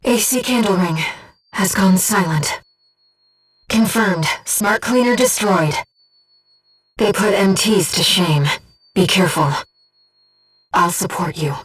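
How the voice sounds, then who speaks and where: Gentle, composed female companion, distant sound. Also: mid-range voice, slow, long pauses, husky, faint music behind. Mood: earnest, tender. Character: gentle, earnest female disembodied mind companion guiding a pilot. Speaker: mission support operator in a video game. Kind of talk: scripted video game voice acting, mission briefing.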